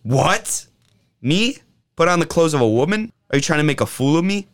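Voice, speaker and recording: A man's voice: a little high, lively intonation, moderate pace, no echo, close to an everyday voice. A man acting a cowardly, grumbling giant husband in a radio drama, fretful and blustering. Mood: indignant.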